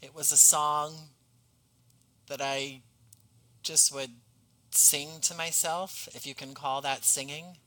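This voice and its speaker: A man's voice: fairly high pitch, flat delivery, slow, pauses often, sharp tone, low-quality recording. A man speaking as a podcast guest in a casual conversation, earnest and self-deprecating.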